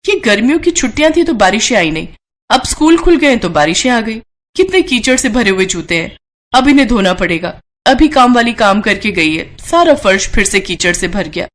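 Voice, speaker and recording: A woman's voice: on the high side, very animated, moderate pace. A woman as harried, caring young housewife. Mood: exasperated. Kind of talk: Hindi cartoon moral story acting.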